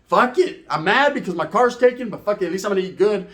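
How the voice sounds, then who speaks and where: A man's voice: high voice, animated, quick pace, fairly bright tone. A middle-aged man tells a comedic story informally, profane and boastful, wandering off and checking in with tag questions.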